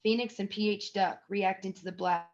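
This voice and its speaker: A woman's voice: medium pitch, steady tone, moderate pace, lo-fi sound. A woman reading stage directions as the narrator of a scripted screenplay table read.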